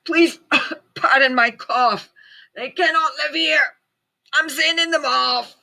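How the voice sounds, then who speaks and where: A woman's voice: high-pitched, takes it slowly, gravelly, pushed and bright, voice pushed up, exaggerated voice. A woman voicing a small, grumpy male forest creature in a storybook reading, scolding and coughing as he complains. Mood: worried. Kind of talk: storybook read-aloud.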